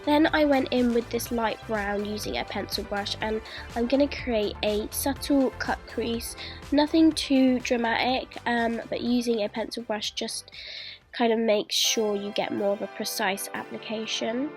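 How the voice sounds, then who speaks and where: A woman's voice: a little high, steady tone, unhurried. Congested young woman narrating a makeup tutorial. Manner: chatty, friendly, step-by-step explaining. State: congested, says she is sick. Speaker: beauty vlogger. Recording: voice-over with clear music behind.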